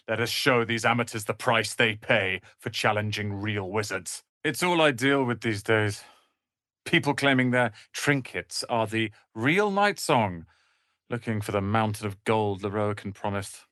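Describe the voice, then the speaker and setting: Proud young wizard apprentice, fantasy game, theatrical, prickly, sharp-tongued, dry close sound. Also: male voice, fairly low pitch, measured pace, dry recording. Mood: cold, pompous. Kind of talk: fantasy video game voice acting.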